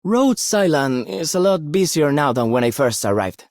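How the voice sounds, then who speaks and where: An actor playing a cheeky, cheerful young prankster in a video game, with a playfully dramatic delivery. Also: male voice, on the high side, sing-song, moderate pace, darkish tone. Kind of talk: video game character voice line.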